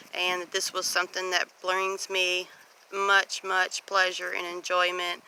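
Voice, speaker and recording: A woman's voice: lowish voice, pushed and bright, low-quality recording. A woman with a Southern US accent chatting in a folksy, casual way as she records a walk-and-talk homestead vlog outdoors. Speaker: homestead vlogger. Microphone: camera microphone. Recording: breezy outdoor wind and ambient noise.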